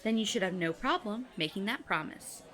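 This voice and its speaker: A woman as sharp, sarcastic teenage girl. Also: medium pitch, lively intonation, brisk, long reverb tail, natural voice. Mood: exasperated, nagging. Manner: dry, sardonic, nagging. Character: sarcastic, exasperated, sceptical teenage girl best friend. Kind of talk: audio drama dialogue.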